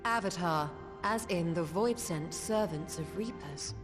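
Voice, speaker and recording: Woman acting low voiced brainy game character, formal n measured, music pretty loud behind her. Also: close to an everyday voice. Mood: grave. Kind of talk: video game cutscene acting.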